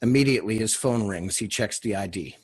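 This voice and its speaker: Low, husky man narrating screenplay directions. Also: fairly quick.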